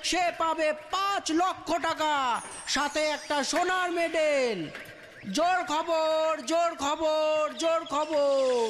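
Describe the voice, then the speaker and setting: A man voicing a loud street crier proclaiming news in an animated cartoon. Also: very high-pitched, takes it slowly, slight background noise, full character voice. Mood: urgent proclaiming. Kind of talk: cartoon public announcement.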